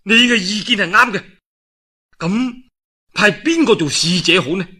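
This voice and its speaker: An impulsive warlord from a historical storybook, acted out by a male storyteller, speaks with a Guangdong Cantonese accent. His raised, forceful voice is emphatic and exclamatory, that of an aggrieved elder brother. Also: sits high, a little slow, breathy edge, pitched above the performer's own voice, heavily acted. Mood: eager.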